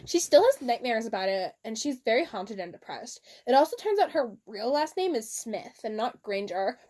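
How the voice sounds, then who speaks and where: A woman's voice: on the high side, sing-song, moderate pace, darkish tone. A woman giving a theatrical, giggly, mock-dramatic reading of fan fiction with amused asides. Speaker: reader.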